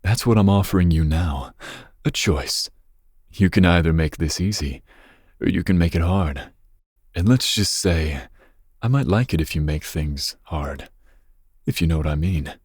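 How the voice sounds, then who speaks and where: A man playing a dangerous, possessive young mafia boss's son in an intimate ASMR roleplay, speaking breathily with smooth, teasing menace. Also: unhurried, pauses often, darkish tone, dry recording, clean studio sound. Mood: coaxing, veiled threat. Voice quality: breathy, husky low voice. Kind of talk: ASMR roleplay, intimate second-person monologue.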